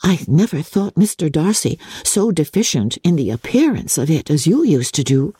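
A woman voicing a witty, candid, spirited young English gentlewoman in a novel reading, with a wry, teasing, articulate manner. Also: low voice, sing-song, moderate pace, slightly rough, dry recording, heavily acted. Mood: playful irony. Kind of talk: dramatic classic novel reading.

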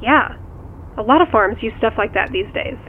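An actress playing a sharp, teasing sister in an audio drama, wry and direct, heard through a phone. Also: female voice, a little high, expressive, moderate pace. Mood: matter-of-fact, probing. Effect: phone call filter. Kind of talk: audio drama acting, conversational. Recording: tinny phone line, faint background noise.

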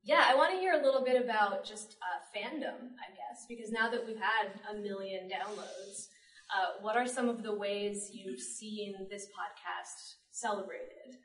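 A woman's voice: medium pitch, moderate pace, a bit bright, a little hiss or hum. A woman chatting casually as the moderator of a live panel. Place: public library panel room. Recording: roomy, distant microphone.

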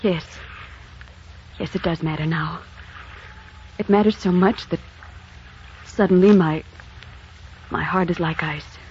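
An actress playing an earnest, troubled young heroine with a secret, in theatrical 1940s radio style. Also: female voice, lowish voice, fairly even intonation, takes it slowly, pauses often, a little mellow, husky, exaggerated voice. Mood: anguished. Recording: dull, slightly noisy 1940s radio recording.

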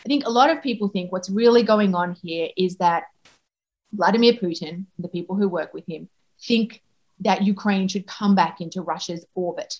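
A woman foreign correspondent answering interview questions in a thoughtful way, with frequent pauses and restarts. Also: medium pitch, darkish tone, lo-fi sound.

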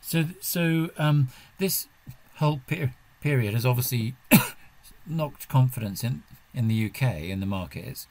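A man of medium pitch hosts an informal discussion. He feels his way into questions with halting phrasing and adds a wry edge. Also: lively intonation, darkish tone. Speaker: podcast host.

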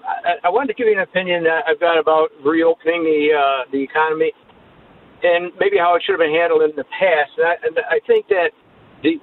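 A man's voice: a little high, slight background noise. Older man calling in on a tinny phone line. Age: 70s. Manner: earnest, slightly halting. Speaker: caller. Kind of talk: call-in opinion.

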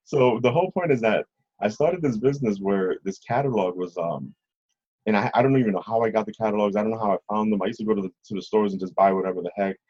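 A middle-aged man telling stories casually as an interview guest, husky, rambling and often checking in with the listener. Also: fairly low pitch, fast talker, darkish tone. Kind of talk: casual conversational storytelling. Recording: slightly dull, lo-fi audio.

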